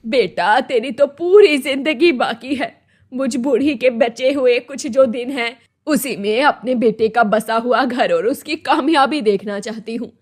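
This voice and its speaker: A woman's voice: a little high, unhurried. A woman voicing a sickly, loving old mother in a moral-story cartoon, plaintive and sorrowful. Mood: sad, tender.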